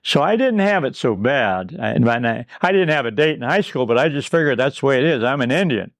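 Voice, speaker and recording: An older man from Pine Ridge, South Dakota, spinning rambling memories with dry humor and a chuckle. His voice sits at a middle pitch. The recording is close and dry, with no room sound. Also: lively intonation, a little fast, dry recording. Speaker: interview guest. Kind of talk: reminiscing in a recorded conversation.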